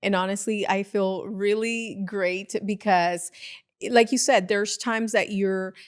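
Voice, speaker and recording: A woman's voice: mid-range voice, fairly even intonation, slow. A woman hosting a podcast, upbeat and chatty, often reacting with enthusiastic interjections. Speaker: podcast host. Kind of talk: casual interview.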